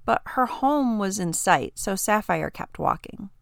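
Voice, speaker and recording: A woman's voice: medium pitch, expressive, unhurried, creaky, no room sound, studio quality. A woman reading a short story aloud as scripted storybook narration.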